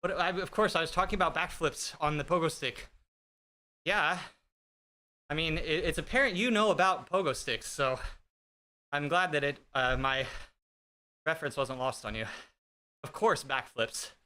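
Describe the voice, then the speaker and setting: Thirties male streamer, a bit high and bright, joking casually with chat over a desk mic. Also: normal speed, pauses often. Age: 30s. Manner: joking, self-deprecating, chatty; talks while riding an indoor bike. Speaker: fitness streamer. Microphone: streaming desk mic with noise gate.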